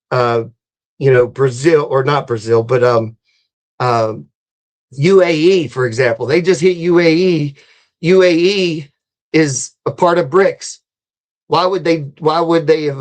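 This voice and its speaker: A man with a slightly higher-pitched voice speaks as a talk show guest. He is insistent and halting, circling back over his phrases in unscripted discussion. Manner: insistent, halting, repeats himself.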